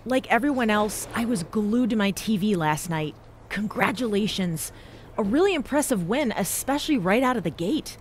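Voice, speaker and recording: A woman's voice: medium pitch, animated, normal speed, a little hiss or hum, close to an everyday voice. A woman voicing a tough, supportive female wrestling mentor who speaks firmly and encouragingly. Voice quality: firm, encouraging.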